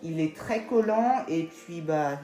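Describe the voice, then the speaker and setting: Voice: medium pitch, expressive, slow, mellow, roomy sound. A speaker co-hosting a casual ranking video, reading out the entries in a matter-of-fact way. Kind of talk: casual scripted chat.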